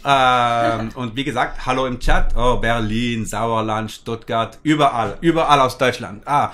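A man in his forties hosting a livestream from a dark studio, speaking hesitant German full of fillers and restarts. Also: medium pitch, measured pace. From United States. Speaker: host.

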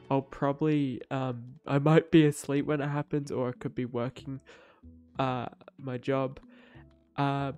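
A man's voice: medium pitch, dark tone, clear, resonant tone. Slightly nasal young guy. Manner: rambling, many fillers and restarts. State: stuffy nose from a cold. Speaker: Minecraft builder narrating his speedbuild. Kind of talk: casual voice-over commentary.

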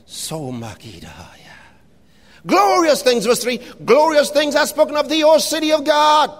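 A man preaching an impassioned sermon, shouting scripture with long drawn-out phrases. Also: very high voice, big pitch swings, long reverb tail.

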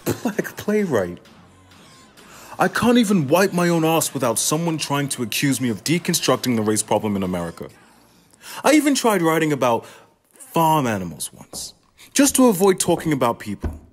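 Man acting as frustrated male playwright, sardonic, profane, confessional, emphatic, radio play monologue, very animated pitch. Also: normal speed, soft background music. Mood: exasperated, ranting. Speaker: student actor.

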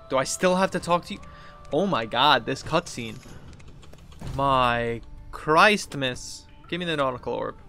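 A man's voice: medium pitch, creaky, sharp tone. A man in his thirties giving casual, playful gameplay commentary and getting easily exasperated. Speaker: gaming streamer. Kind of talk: casual gameplay commentary with exaggerated read-outs. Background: game music.

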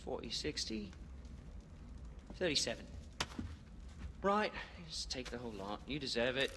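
A man's voice: medium pitch, lively intonation, a little slow, lightly acted. Goofy game stoner, British male, actor in character: swooping delivery, theatrical rambling, long reverb with faint noise. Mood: jokey. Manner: rambling, jokey, dramatic. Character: goofy stoner man, rambling comic sidekick of a duo. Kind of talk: video game cutscene acting.

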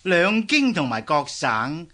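Fawning, swooping palace eunuch. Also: male voice, on the high side, slow pace, exaggerated voice. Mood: fawning, coaxing. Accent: Hong Kong Cantonese. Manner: obsequious, flattering. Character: scheming, fawning male palace eunuch serving the emperor. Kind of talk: Cantonese historical radio drama acting.

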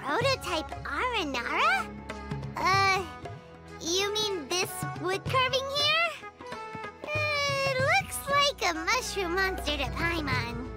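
A woman's voice: sing-song, takes it slowly, loud music behind the voice, exaggerated voice. A woman voicing a tiny, bubbly female fairy companion in a game who talks about herself by name. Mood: puzzled. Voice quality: high squeaky voice.

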